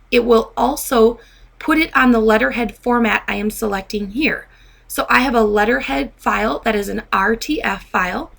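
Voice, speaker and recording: A woman with a fairly high voice narrates a software training tutorial. She speaks as an instructor, methodically guiding the listener through each step. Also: creaky.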